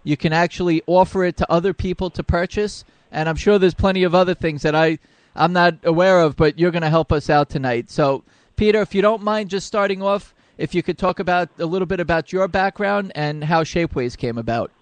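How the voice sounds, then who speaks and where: A man's voice: sits high, fairly quick, no room sound. A man hosting a radio interview, asking long, rambling questions with eager interest while he searches for words. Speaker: radio show host.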